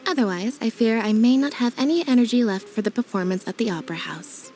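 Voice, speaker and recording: A woman voicing a deadpan, low-energy young female assistant in a game cutscene dub. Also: quick pace, slight background noise, natural voice. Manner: flat, deadpan, drowsy.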